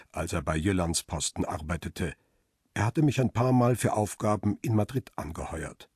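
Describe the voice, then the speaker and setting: Radio crime drama: jaded photographer, middle-aged, low rough-edged voice, sober self-critical first-person narration, close dry sound. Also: male voice, darkish tone, no echo. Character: jaded middle-aged male press photographer narrating his own story.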